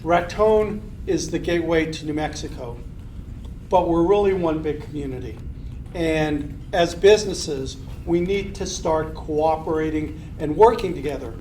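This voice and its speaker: A man in his fifties gives an earnest speech to a business gathering, leaning on repeated rallying phrases and frequent ums and you-knows. His voice sits a little high and sounds distant, caught from across an echoey room with a faint hiss underneath. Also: measured pace, dark tone. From southern Colorado. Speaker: city manager. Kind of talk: public speech to a business meeting. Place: meeting room, unamplified.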